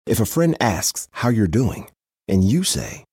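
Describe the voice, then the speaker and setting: A man's voice: on the low side, big pitch swings, unhurried, darkish tone, breathy edge, no echo, clean studio sound. A man narrating a scripted public-service advertisement. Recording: music behind the voice.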